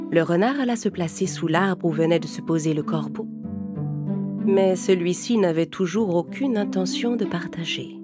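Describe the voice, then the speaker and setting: A woman narrating a children's fable as a storyteller, in a measured, expressive scripted read. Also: on the low side.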